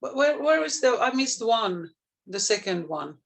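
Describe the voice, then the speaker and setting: A man from Finland with a Finnish accent joins a class discussion over a video call. His voice sits high and gravelly, and the sound is close and dry. He is eager but halting, restarting as he searches for words. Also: big pitch swings, a bit bright, dry recording. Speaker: real estate agent.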